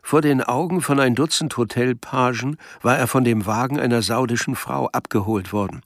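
A man's voice: mid-range voice, normal speed, no room sound, clean studio sound. A man reading literary scripted narration for a radio drama. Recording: music behind the voice.

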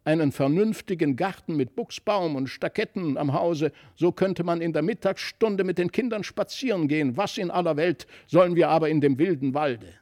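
A man's voice: a little high, normal speed, few pauses, a little mellow, natural voice. A man voicing an angry, pedantic tutor who is secretly an insect-like gnome, grumbling and buzzing in a storybook reading. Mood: sour. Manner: grumbling, buzzing, rolling snarls.

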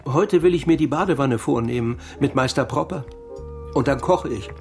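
A man's voice: medium pitch, moderate pace, gravelly, faint music behind, natural voice. An actor in a radio crime drama playing a bitter, lonely laid-off editor in his fifties, aggrieved, wry and articulate. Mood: resigned. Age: 50s. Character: bitter, lonely laid-off former editor-in-chief, man of fifty-four.

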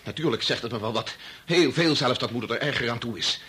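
Defiant young adult son, very husky, mid-pitched, anguished, challenging, radio drama. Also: male voice, fast, pushed and bright. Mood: defiant, indignant. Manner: challenging, questioning. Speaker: actor in a radio play. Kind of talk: Dutch radio drama acting.

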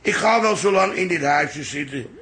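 A man's voice: on the high side, animated, normal speed, bright, forceful tone. An actor playing a stubborn, wily old grandfather in a radio drama, grumbly and theatrical. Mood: martyred. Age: older.

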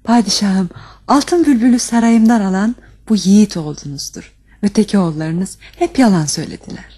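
A woman's voice: medium pitch, brisk, mellow, husky. A woman playing a proud, commanding young fairy sultana in a fairy tale audio storybook, speaking formally. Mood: imperious.

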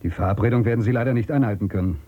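An actor playing a brusque, suspicious outcast in a radio drama, with a commanding, curt way of questioning. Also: male voice, fairly low pitch, rapid-fire, husky, phone-quality sound. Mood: sober, resigned. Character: brusque, suspicious male outcast hiding in abandoned future city. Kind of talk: German radio drama acting.

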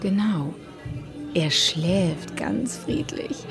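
A concerned woman at a bedside in a radio drama. Her voice sits fairly low, and music runs behind her words. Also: very slow. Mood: concerned, soothing. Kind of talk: German radio drama dialogue.

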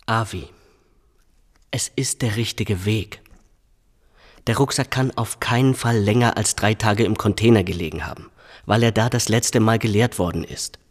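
A man acts a matter-of-fact detective in a radio drama. His voice sits a little low in a room with noticeable reverb. He lays out his reasoning in a measured, methodical way. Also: lightly acted. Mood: factual, firm. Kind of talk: German radio drama acting.

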